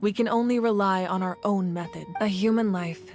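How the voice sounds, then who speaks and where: A woman plays a solemn female ruler from a fantasy game. She speaks at a middle pitch with measured authority and grave composure, with faint music underneath. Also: darkish tone, natural voice. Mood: resolute. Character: composed, commanding female Pyro Archon, solemn leader of a nation. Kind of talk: dramatic game voice acting. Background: background music.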